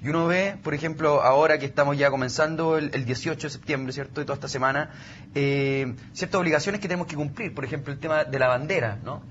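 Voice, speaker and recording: A man's voice: on the high side, quick pace, a bit bright. A man with a Chilean accent discussing ideas on a panel in academic wording, often checking with small tag questions. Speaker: youth panelist. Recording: dull sound with faint background noise.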